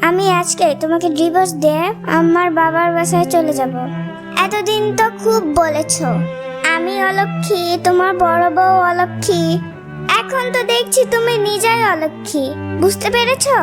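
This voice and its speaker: A woman's voice: extremely high pitch, moderate pace. A woman voicing a haughty, spiteful young wife in a cartoon melodrama, scolding and domineering. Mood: bitter, reproachful. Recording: music under the voice.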